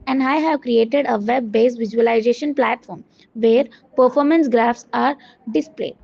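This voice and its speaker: A woman student reading out a scripted project presentation in a halting way, with stumbles and self-corrections. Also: a little high, vocal fry, mellow. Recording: dull, muffled lo-fi audio.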